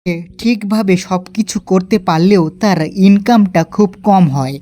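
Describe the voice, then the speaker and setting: A woman's voice: medium pitch, measured pace, dark tone. A woman narrator reading a scripted horror story for a cartoon.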